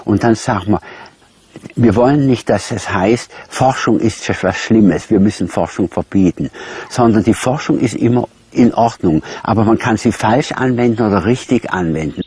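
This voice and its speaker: A man explains himself emphatically in unscripted talk. His voice is fairly low and extremely raspy, recorded dry with little room sound. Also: normal speed, darkish tone, no room sound.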